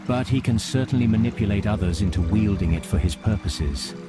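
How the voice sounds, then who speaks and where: A man's voice: fairly low pitch, close to an everyday voice. A man playing a grave, wise wizard mentor, speaking solemnly in a fantasy game cutscene. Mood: solemn hope. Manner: solemn, measured exposition. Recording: very loud music over voice. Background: loud music.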